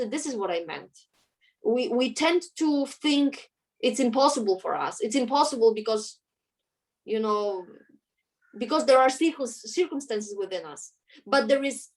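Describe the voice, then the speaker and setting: A woman with a Polish accent, a native Polish speaker, talking earnestly as an interview guest and often searching for her words. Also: fairly high pitch. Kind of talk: conversational interview.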